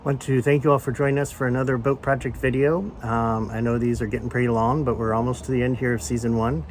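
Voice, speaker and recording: A man with a mid-pitched voice talks casually as a vlog host, explaining hands-on project work. The recording has clear ambient noise behind him. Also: flat delivery, quick pace. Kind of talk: casual DIY boat vlog narration. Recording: clearly noisy ambient background.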